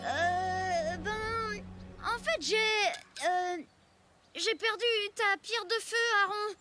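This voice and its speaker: A woman's voice: extremely high pitch, very slow, exaggerated voice. A woman voicing an earnest, plucky young girl in a French children's cartoon. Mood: contrite. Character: earnest, plucky young prehistoric girl, warm-hearted leader. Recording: music behind the voice.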